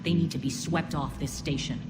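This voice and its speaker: A woman's voice: sits low, steady tone, fairly quick, heavy background noise, natural voice. An actress playing a principled female alien soldier in a video game, speaking formally and firmly. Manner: formal, firm, earnest. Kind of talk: video game cutscene acting. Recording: loud game ambience behind voice.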